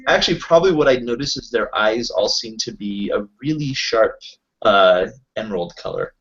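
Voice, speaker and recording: A man's voice: lowish voice, expressive, normal speed, fairly bright tone. Rambling man on a muffled game call. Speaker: tabletop roleplay player. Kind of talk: casual game table chat. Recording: dull lo-fi online voice call.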